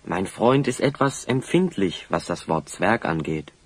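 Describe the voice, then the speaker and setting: Lively, slightly husky young hero. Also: male voice, low voice, a little slow, no room sound, full character voice. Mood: chiding. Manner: reasoning, gently chiding. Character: sensible, friendly teenage boy hero. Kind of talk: radio play acting.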